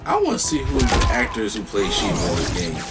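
A man's voice: on the low side, big pitch swings, brisk. A young man giving casual, slangy reaction commentary as host, in a small room at a desk microphone. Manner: slangy, laid-back. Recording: loud game music behind.

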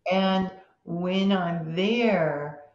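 An older woman hosting a podcast conversation in a measured way, pausing often. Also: on the low side. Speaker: podcast host. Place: home room with bookshelves, video call. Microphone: computer mic on a video call. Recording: dull, echoey video-call sound.

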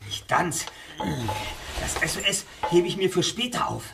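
A man's voice: sits high, unhurried. A man playing a clever, composed young detective who speaks in precise, analytical sentences, recorded with audible noise. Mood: calm, reasoning. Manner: precise, analytical, formal wording.

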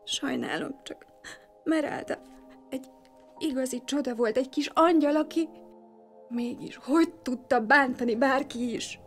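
A high-voiced grieving widow. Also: female voice, animated, soft background music. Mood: grieving, tearful. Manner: soft-spoken, pleading, emotional. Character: grieving, pleading widow and bereaved mother. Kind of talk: audio drama acting.

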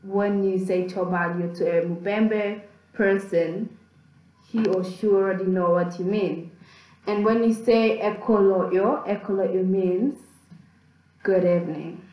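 A woman's voice: mid-range voice, steady tone, takes it slowly, darkish tone. A young woman from the Democratic Republic of the Congo, a native Kibembe speaker, chatting upbeat on a webcam vlog in a small plain room, teaching words of her language. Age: young adult. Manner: chatty and upbeat, with fillers and restarts. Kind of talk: casual vlog lesson. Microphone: webcam in front of her. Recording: echoey room.

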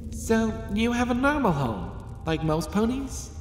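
A woman's voice: a little high, very animated, measured pace, a little hiss or hum. A woman voicing a timid, wary schoolgirl unicorn filly, hesitant and cautious, in an audio fan-fiction drama. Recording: echoey hall reverb, music behind. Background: background music.

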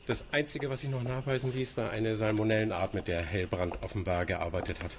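Radio play: measured, courteous senior researcher played by a man, deep-leaning voice, repeats himself, clearly noisy ambience. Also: fairly even intonation, natural voice. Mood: grave, courteous. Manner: formal, hesitant, repeating himself. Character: measured senior scientist at a marine institute, evasively courteous. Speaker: actor in a radio drama. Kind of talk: naturalistic German radio play acting.

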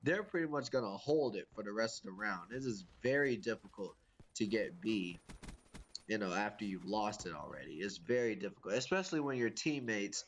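A man's voice: fairly low pitch, expressive, normal speed, slight background noise. A young man with a congested, sniffly voice from a cold, giving casual, profane gameplay commentary and ranting about his teammates. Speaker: gaming YouTuber.

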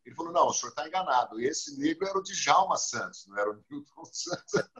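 Storytelling Brazilian man host, a bit high. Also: fairly quick. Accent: Brazilian Portuguese. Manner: storytelling, lively quoting of others. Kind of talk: casual talk show chat. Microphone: video call webcam.

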